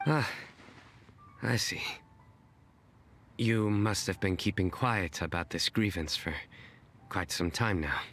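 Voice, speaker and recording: An actor playing a young adventurer who tells bad puns in a dry, deadpan way in a game. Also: male voice, low voice, slow, long pauses, faint music behind, exaggerated voice. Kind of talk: game voice acting.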